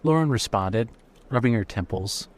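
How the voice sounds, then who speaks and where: A man's voice: medium pitch, expressive, moderate pace. A man giving scripted narration of a fiction story.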